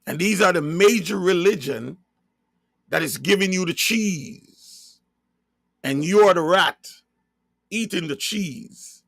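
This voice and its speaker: A man from Jamaica with a Jamaican accent, preaching in a slow sermon-style monologue and hammering his points home by repeating and spelling out key words. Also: sits high, creaky, fairly bright tone, dry recording, clean studio sound.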